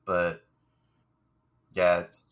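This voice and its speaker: A man co-hosting a podcast, congested and hoarse from a cold, rambling in casual chat with frequent restarts. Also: low-pitched, completely flat delivery, very slow, a bit bright. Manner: rambling, self-deprecating, restarts often. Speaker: podcast co-host.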